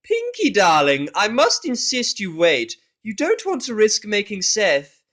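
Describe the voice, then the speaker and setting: A man voicing a refined, fussy female pony in a story reading, with prim, affected, drawn-out speech. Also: high-pitched, huge pitch swings, fairly bright tone, pitched above the performer's own voice, heavily acted. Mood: insistent.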